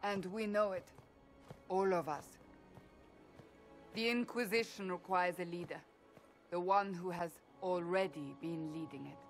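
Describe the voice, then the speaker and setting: A woman playing a stern, earnest military leader in a fantasy game, speaking in a solemn, measured way. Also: fairly low pitch, music in the background. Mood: solemn, resolute. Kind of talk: dramatic fantasy game dialogue.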